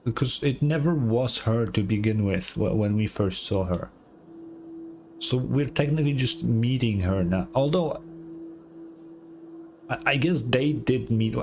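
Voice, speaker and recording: Low-voiced man streaming a game. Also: a little mellow, a little hiss or hum. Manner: sweary, offhand. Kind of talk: casual reaction commentary, sometimes reading game text aloud.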